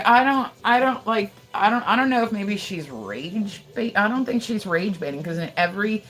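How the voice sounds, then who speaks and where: A woman's voice: medium pitch, fairly bright tone, rough recording. An American woman livestreaming from her house, chatting casually and gossipy, full of fillers and swearing, answering her viewers as she goes. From United States. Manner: chatty, gossipy, loose and profane, lots of "like" fillers.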